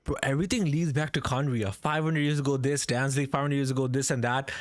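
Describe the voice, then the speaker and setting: A man's voice: mid-range voice, steady tone, fast talker, dry recording. A man doing casual, slangy livestream commentary while playing a game, reacting as he goes. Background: game music.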